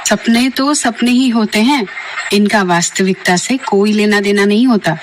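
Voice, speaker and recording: A woman playing a caring, reassuring mother who speaks gently and formally in an audio drama. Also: mid-range voice, normal speed, noisy background, close to an everyday voice. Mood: soothing.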